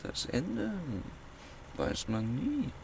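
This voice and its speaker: Cool, commanding gentleman swindler, noisy ambience. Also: fairly high pitch, huge pitch swings, very slow, creaky, full character voice. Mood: dry, commanding. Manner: clipped, imperious, dryly ironic. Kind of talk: radio play acting.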